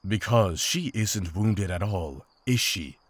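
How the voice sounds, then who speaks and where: A man's voice: fairly low pitch, takes it slowly, no room sound. A man playing a grave, principled monster hunter in a fantasy visual novel, speaking in terse, formal lines. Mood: firm warning. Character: stern, principled monster hunter, weary and commanding.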